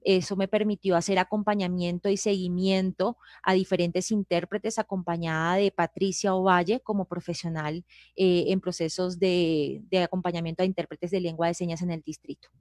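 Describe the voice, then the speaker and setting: A woman, a sign language interpreter introducing herself on a live panel, speaking steadily with frequent "eh" pauses. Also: lowish voice, no echo, clean studio sound. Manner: steady, hesitant "eh" fillers.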